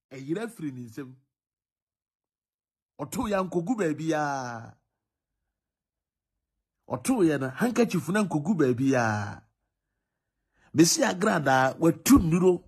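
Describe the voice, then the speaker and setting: A man's voice: on the high side, very animated, unhurried, long pauses, darkish tone, husky. A man with a Ghanaian accent giving informal, accusatory commentary, insistent and repeating his points. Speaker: commentator in a social media clip.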